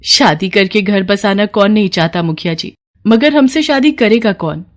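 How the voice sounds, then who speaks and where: A woman voicing a gentle, worried young eldest sister in a storybook tale, earnest and plaintive. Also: mid-range voice, moderate pace, close to an everyday voice.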